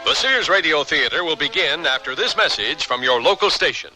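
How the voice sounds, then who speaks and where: A man's voice: fairly high pitch, lively intonation, brisk, over loud music. A man doing scripted announcing for a radio theater program.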